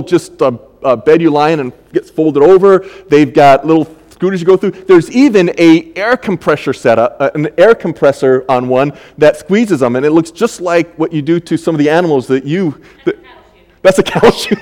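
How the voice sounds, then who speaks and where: An older man preaching a sermon as pastor, conversational and halting, with many fillers and restarts. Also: a little high, a little mellow, big-room sound.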